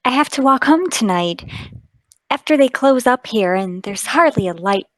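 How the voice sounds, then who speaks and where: Woman playing a timid, high-voiced young waitress. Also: animated, moderate pace, fairly bright tone, dry recording. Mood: anxious, frightened. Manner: hesitant, deferential, anxious. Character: nervous, timid young waitress, deferential and frightened. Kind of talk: radio mystery drama table read.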